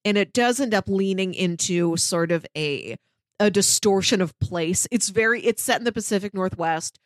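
A woman's voice: mid-range voice, moderate pace, no room sound. A woman podcast co-host with a mid-range voice in a dry, close recording. She talks in a casual, chatty review style, eager and enthusiastic, wandering through her thoughts as she makes recommendations. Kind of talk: casual review chat, recommending audio dramas.

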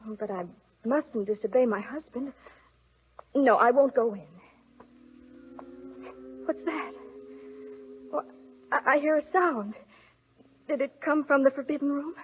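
High-voiced innocent young bride. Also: female voice, a little slow, long pauses. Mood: curious, nervous. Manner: earnest, theatrical. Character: sweet, obedient, curious young fairy-tale bride. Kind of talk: 1940s radio acting. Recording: muffled, noisy old radio recording.

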